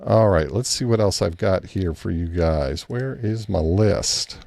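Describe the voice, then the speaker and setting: A man's voice: sits low, expressive, unhurried, creaky, a little mellow, dry recording. A man hosting a casual late-night ham radio live stream, rambling easily and thanking chat members by name.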